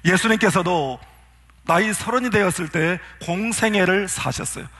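A man's voice: high-pitched, moderate pace, sharp tone. A man who is a pastor, preaching a sermon in an earnest way and putting rhetorical questions to his congregation.

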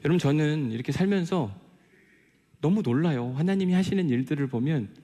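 A man's voice: a little high, expressive, fairly quick, mellow, husky. A male pastor giving a sermon in an earnest, conversational way, telling personal stories and asking rhetorical questions. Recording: long hall reverb.

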